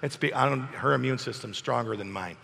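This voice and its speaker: A man preaching an emphatic sermon while hoarse from a cold, asking his congregation questions. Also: medium pitch, a little fast, bright, forceful tone, big-room sound. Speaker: pastor. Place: church hall.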